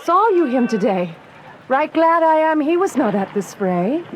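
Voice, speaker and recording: A woman's voice: high voice, sing-song, a little slow, a little hiss or hum. An actress playing an anxious noblewoman and mother in a verse radio drama, with formal theatrical delivery. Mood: worried relief.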